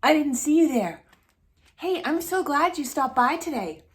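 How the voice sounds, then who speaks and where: A woman hosting a read-aloud storytime for young children, speaking in an animated way as if straight to the child listening. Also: high-pitched, unhurried. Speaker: children's storytime host reading a picture book aloud.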